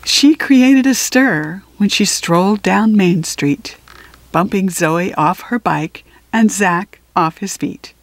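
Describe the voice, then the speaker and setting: A woman reads a children's picture book aloud at a slow pace. Her voice sits at a medium pitch, and she shapes each phrase expressively, leaving deliberate pauses. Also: no echo. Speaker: storybook reader.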